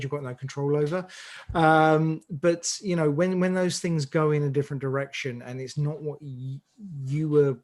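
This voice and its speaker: A man giving rambling, emphatic advice in a conversational interview as a director. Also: fairly high pitch. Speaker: audio drama director on an interview panel.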